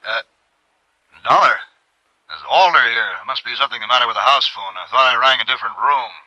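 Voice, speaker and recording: A man's voice: mid-range voice, animated, brisk, sharp tone, rough recording. An actor playing a stiff, indignant, protective wealthy man over a telephone filter in a classic radio drama. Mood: flustered.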